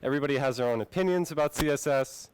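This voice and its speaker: Smooth-voiced Finnish-born man giving a tech talk. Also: medium pitch, very fast, no room sound, poor audio. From Finland. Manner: friendly, relaxed presenter addressing the audience. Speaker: conference speaker.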